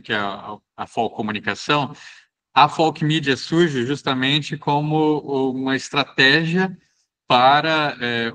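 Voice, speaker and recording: A man's voice: medium pitch, sharp tone. A man from Brazil teaching an online class, talking casually with many hesitations and restarts. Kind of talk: casual spoken class discussion.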